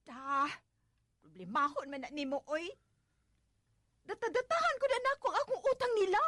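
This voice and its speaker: Carefree stubborn wife, very shrill pitch, Visayan Filipino accent, dramatic whining, close dry radio-play sound. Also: female voice, expressive, moderate pace, sharp tone, no echo, full character voice. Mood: whiny, dismissive. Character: whiny, stubborn, carefree young wife dodging debts.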